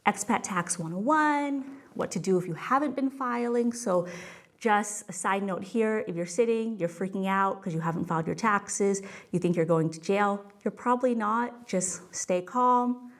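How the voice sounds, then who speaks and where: A woman's voice: mid-range voice, normal speed. A woman in her thirties from California with an American accent, hosting a webinar and explaining things in a chatty, emphatic, reassuring way. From California, United States. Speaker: webinar host, tax expert. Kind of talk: webinar presentation, conversational explaining. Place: office, indoors, at a desk.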